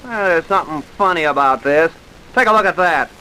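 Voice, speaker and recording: An earnest, determined trooper from a vintage radio play. His voice is bright and forceful and sits a little high, with clipped, methodical delivery over a faintly hissy old recording. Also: animated, brisk, poor audio. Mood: intent, puzzled. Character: earnest, dogged young police trooper investigating a killing. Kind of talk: old-time radio drama acting.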